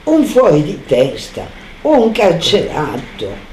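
A woman's voice: low voice, huge pitch swings, takes it slowly, a little mellow, a little hiss or hum. A woman speaking informally in a roomy, echoing recording. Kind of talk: informal spoken remarks.

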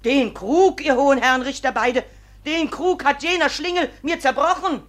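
Woman acting an indignant, garrulous village widow, high-pitched. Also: moderate pace, close to an everyday voice. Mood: stubborn, indignant. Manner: emphatic, long-winded, stubborn. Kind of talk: 1950s German radio play acting. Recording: 1950s radio drama recording.

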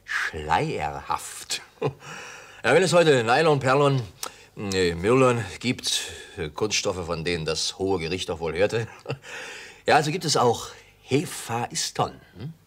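A man's voice: on the low side, very animated, slow pace, fairly bright tone, heavily acted. An actor playing a boastful, jovial and unsuspecting travelling salesman in a German radio play, a man with a self-satisfied, chuckling way of talking.

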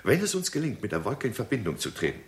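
An actor playing a grave, persuasive male scientist in a 1960s radio drama, emphatic and deliberate. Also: medium pitch, huge pitch swings. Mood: earnest, persuasive. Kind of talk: 1960s German radio play acting.

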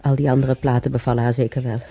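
A man's voice: mid-range voice, flat delivery, quick pace, dark tone, natural voice. An actor playing a resigned, wry male hospital patient in a radio drama, muttering and grumbling to himself.

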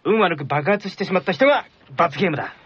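Eager middle school tennis player, high lively voice, presenting on anime radio. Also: male voice, quick pace, slightly rough, no echo, exaggerated voice. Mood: upbeat, earnest. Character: earnest, upbeat middle school tennis boy. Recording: dull radio sound with music behind.

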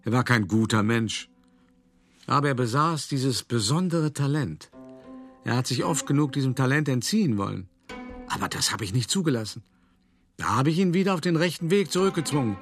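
A shrewd older art dealer reminiscing. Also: male voice, medium pitch, fairly bright tone. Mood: wry, matter-of-fact. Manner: reflective, conversational storytelling with deliberate pauses. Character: older male art dealer, shrewd, self-satisfied, recounting his past. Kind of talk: radio drama first-person monologue.

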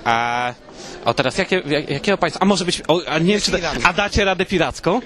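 Male street reporter, rapid pace, fairly high voice, playful questioning outdoors. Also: expressive, sharp tone. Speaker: street interviewer, podcast host. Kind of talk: playful vox-pop interviewing.

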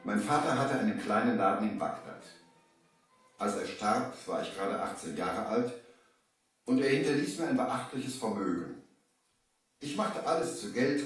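An actor playing a young merchant who recounts his sea adventure with measured drama in a children's radio play. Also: mid-range voice, recorded from a distance, lightly acted. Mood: measured storytelling. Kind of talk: 1970s German children's radio play narration. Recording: roomy, echoing old vinyl radio play.

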